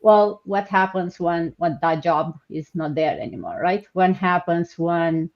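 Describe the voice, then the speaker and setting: A woman's voice: fairly low pitch, lively intonation, a little slow, highs cut off. Chatty woman hosting a live stream. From Romania. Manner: chatty, hesitant, many fillers. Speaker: host. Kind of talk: live online panel hosting.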